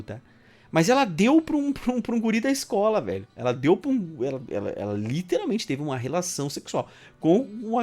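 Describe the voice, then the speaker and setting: A man's voice: high voice, darkish tone. A man in casual podcast chat, telling stories animatedly and swearing often. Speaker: podcast co-host.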